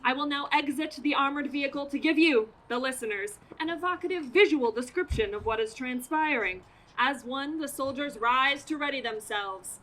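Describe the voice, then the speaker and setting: A woman's voice: high voice, normal speed, a bit bright. A woman playing a dramatic radio reporter who narrates an alien invasion in grandiose, melodramatic style, performing outdoors. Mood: solemn awe. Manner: grandiose, florid, theatrical delivery. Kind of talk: melodramatic old-time radio play narration. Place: outdoor parking lot theater performance. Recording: noisy outdoor stage performance.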